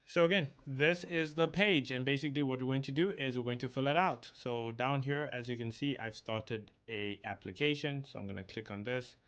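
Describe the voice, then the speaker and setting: A man's voice: mid-range voice, moderate pace, hardly any pauses, fairly bright tone, highs cut off. A young man from South Africa talking casually through an online application, thinking aloud with frequent ums. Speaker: YouTuber walking through an application. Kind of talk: casual screen-share walkthrough.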